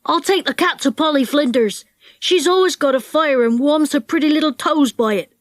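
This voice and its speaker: A woman's voice: high-pitched, moderate pace, dry recording, clean studio sound, close to an everyday voice. A woman voicing bold, blunt young boys in a children's storybook. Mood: matter-of-fact.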